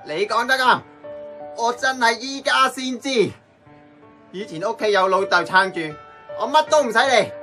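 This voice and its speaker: An actor playing a tipsy, brash young man in a Hong Kong Cantonese radio drama, loud and rambling. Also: high-pitched. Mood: bitter, self-mocking. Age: young adult.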